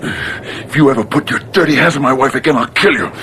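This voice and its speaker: Male actor as a sickly anguished husband, American, gravelly creaking voice, volatile delivery, old radio play, faint hiss. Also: mid-range voice, fairly quick, lightly acted. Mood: furious. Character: desperate, worn-down husband, sickly and easily enraged. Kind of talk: old-time radio drama acting. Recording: noisy vintage radio drama recording.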